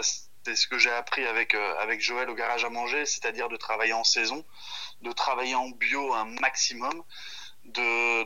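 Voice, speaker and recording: A man in his thirties speaks as a guest on a phone call. His voice is strikingly bright and forward, at an ordinary male pitch. He talks informally and hesitantly, thinking aloud as he goes. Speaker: chef, podcast guest. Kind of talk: casual chat over the phone.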